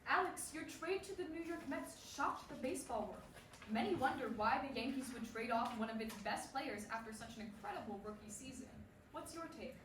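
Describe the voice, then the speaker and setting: A woman's voice: on the high side, animated, a little fast, natural voice. A woman acting a probing, polished sports interviewer in a staged play reading. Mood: probing, formal. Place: stage before a room, far microphone. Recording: echoey distant stage recording with noise.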